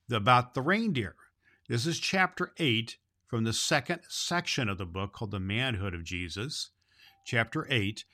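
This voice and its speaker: A man's voice: mid-range voice, sharp tone. A man playing a jolly, loving Santa Claus, hosting a children's holiday show in a folksy, exclamatory way. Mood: warm, storytelling. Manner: exclamatory, folksy, repeats phrases. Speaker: podcast host.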